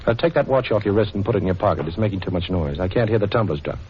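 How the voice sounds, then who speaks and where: A man's voice: sits low, expressive, rapid-fire, a little hiss or hum. A man acting a cool, wisecracking amateur sleuth in a 1940s radio drama, breezy, teasing and commanding, with an American accent. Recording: muffled 1940s radio broadcast recording.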